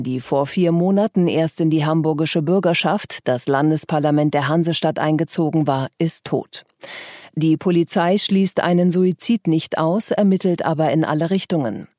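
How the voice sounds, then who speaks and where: An actress playing a sober radio newsreader, reading scripted news in a formal, factual manner. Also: female voice, fairly low pitch, mellow, dry recording, studio quality, lightly acted.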